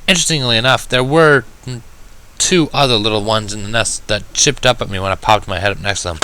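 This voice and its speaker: A man narrating a first-person story in an audiobook style, reading in a measured, wry manner. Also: fairly low pitch, bright, forceful tone, slight background noise, poor audio. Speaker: fan fiction reader.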